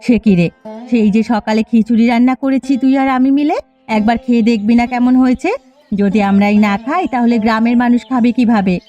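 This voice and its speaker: Sensible village sister-in-law, female actor, coaxing and gently scolding cartoon delivery, faint music behind. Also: a little high, fairly even intonation, a little fast, lo-fi sound, lightly acted. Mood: concerned, coaxing. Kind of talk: Bengali cartoon fairy-tale acting.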